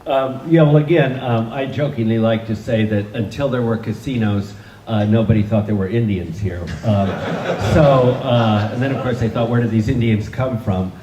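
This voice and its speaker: A man's voice: mid-range voice, moderate pace, roomy sound, lo-fi sound, background noise. A man, an author and guest from Northern California, talking onstage in an easy, joking way with many fillers. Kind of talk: onstage conversation. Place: event hall with an audience.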